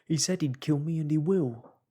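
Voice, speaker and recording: A man's voice: moderate pace, mellow, natural voice. A man voicing a frantic, terrified male tramp who pleads and shrieks while on the run. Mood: panicked. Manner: shrill and pleading.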